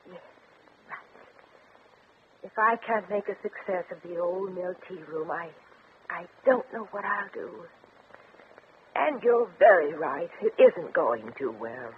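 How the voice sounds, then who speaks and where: An actress playing a shy, lovelorn, nervous older tea room owner, hesitant and fluttery, in 1950s radio comedy style. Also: female voice, on the low side, lively intonation, slow, long pauses. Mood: wistful, earnest. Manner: hesitant, fluttery, easily flustered. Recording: crackly, tinny old radio recording.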